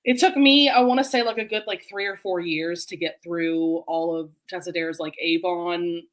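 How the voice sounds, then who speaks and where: A woman with a moderately low voice hosts a relaxed group chat online. She talks in an informal, emphatic way, leaning on "like" as she builds her thoughts. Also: moderate pace. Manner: chatty, emphatic, peppered with "like". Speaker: host of a livestream book chat. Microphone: video call livestream.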